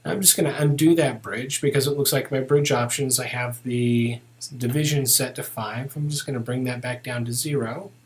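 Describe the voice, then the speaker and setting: A man explaining a 3D modeling task step by step in a relaxed, methodical tutorial voice. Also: medium pitch. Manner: relaxed, methodical, narrating each step as he works. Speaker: software tutorial instructor. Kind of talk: screen-recorded software tutorial narration.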